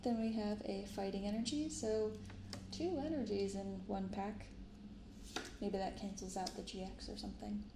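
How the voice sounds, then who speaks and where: Young woman, soft-spoken ASMR host, hushed and unhurried, gravelly fry, roomy sound with background noise. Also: medium pitch, steady tone. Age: young adult. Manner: hushed, unhurried reading aloud and chatting. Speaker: YouTube card-opening host. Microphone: close binaural microphone.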